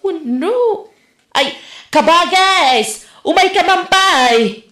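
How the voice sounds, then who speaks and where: A woman's voice: very high-pitched, animated, drawn-out words, sharp tone, heavily acted. A woman playing a bossy, exasperated nag in a comedy drama. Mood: scolding. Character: scolding, exasperated woman bossing her relative around. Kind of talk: Ilocano comedy radio-style drama. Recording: roomy echo on the voice.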